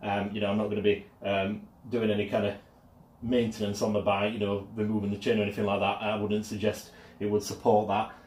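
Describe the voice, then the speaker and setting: A man with a low, fairly level voice talks through a product demonstration with a hesitant, filler-heavy delivery. He is filmed on a phone in his garage. The sound bounces around the echoey space. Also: level tone, a little fast, a little mellow. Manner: hesitant, many ums and uhs. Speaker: product reviewer. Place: home garage. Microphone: mobile phone camera.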